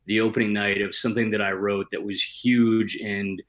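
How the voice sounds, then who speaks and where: Male panel guest, slightly low pitch, loose slangy chat with self-deprecating humour. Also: little pitch movement, normal speed. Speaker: guest screenwriter. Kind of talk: casual chat.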